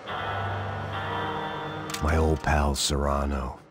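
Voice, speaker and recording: Very deep, world-weary American antihero. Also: male voice, extremely slow, loud music behind the voice, exaggerated voice. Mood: bitter, sardonic. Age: middle-aged. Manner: deadpan, sardonic, world-weary. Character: weary, cynical, self-loathing middle-aged American ex-cop gunman. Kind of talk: hard-boiled noir game narration.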